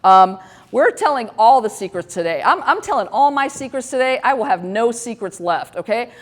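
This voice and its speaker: A woman's voice: on the high side, sing-song, moderate pace. Candid joking woman in her fifties. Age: 50s. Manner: candid, self-deprecating, rambling with many fillers. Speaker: host. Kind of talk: casual talk show monologue.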